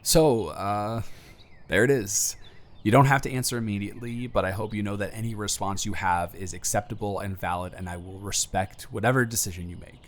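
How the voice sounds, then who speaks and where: A man performs an earnest young man in an intimate audio drama, baring his feelings to a close friend. His voice sits fairly low. He speaks hesitantly and with open vulnerability, anxious but sincere. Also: a little fast, close to an everyday voice. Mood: nervous, respectful. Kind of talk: emotional roleplay audio acting, intimate monologue to the listener.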